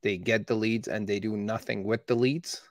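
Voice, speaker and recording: Agency owner type, man in his 30s, plain steady delivery, dry close mic. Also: on the low side, normal speed, no room sound. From born in Iran, raised in Canada. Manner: steady, matter-of-fact storytelling. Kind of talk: casual conversation.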